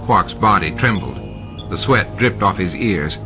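Low-voiced man reading a novel aloud. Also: lively intonation, measured pace. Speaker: audiobook reader. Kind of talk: scripted narration. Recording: dull lo-fi with music behind.

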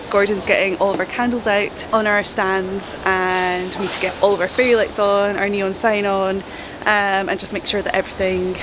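A young woman talking chattily to a handheld camera in a large indoor trade show hall, with frequent ums. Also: medium pitch. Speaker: vlog host, candle business owner. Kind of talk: casual vlog talk to camera. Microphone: camera held at arm's length. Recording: noisy background.

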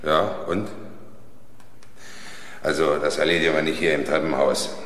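A man acting a middle-aged, authoritative construction crew boss in a German radio crime play, brisk and commanding. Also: extremely low pitch, little pitch movement, fairly bright tone. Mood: firm. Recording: roomy, echoing sound.

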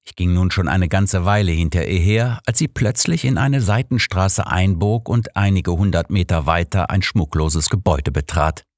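Deep-voiced male audiobook narrator. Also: normal speed, few pauses, no echo, studio quality. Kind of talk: scripted first-person story narration.

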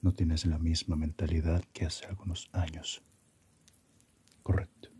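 Casting: male performer as calm, philosophical Death for ASMR roleplay; very low, husky, breathy half-whisper, gentle and musing. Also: exaggerated voice. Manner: unhurried, musing, asks reflective questions.

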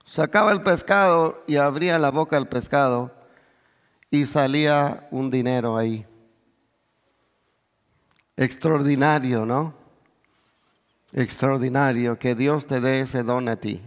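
A middle-aged man preaching in Spanish, with as his language, who peppers his sermon with hallelujahs, calls for amen, and swells toward near shouts. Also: medium pitch, takes it slowly, long pauses. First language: English. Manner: moves about and gestures on stage, voice swells to near shouts. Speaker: pastor. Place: large church stage. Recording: long reverb of a big church hall.

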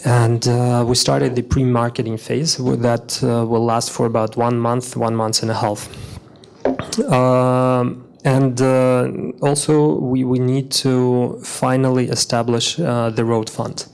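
A man's voice: mid-range voice, flat delivery, slow, gravelly, a little mellow, slight background noise. A man in his thirties giving businesslike panel answers into a handheld mic in a conference hall, with many fillers and restarts. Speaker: government minister.

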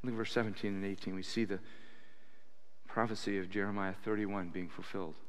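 Older pastor preaching in a reverberant church. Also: male voice, on the low side, fairly quick. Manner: animated preaching with sudden loud acted quotes. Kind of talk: sermon. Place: church sanctuary stage at a pulpit. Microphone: stand mic at the pulpit.

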